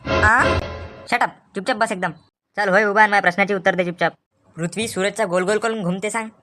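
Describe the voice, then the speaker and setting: A woman's voice: mid-range voice, steady tone, a little fast, bright, forceful tone, soft background music, lightly acted. A woman voicing a hot-tempered, threatening male tuition teacher in a comic cartoon, with a Vidarbha Marathi accent and scolding, repeated questions.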